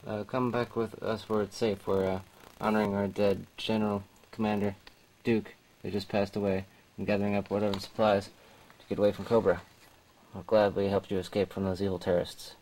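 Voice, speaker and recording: A man's voice: on the low side, little pitch movement, brisk, darkish tone, lightly acted. A man playing an obliging soldier on a rescue in an amateur audio drama recorded on cassette. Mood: helpful, polite. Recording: noisy old cassette tape.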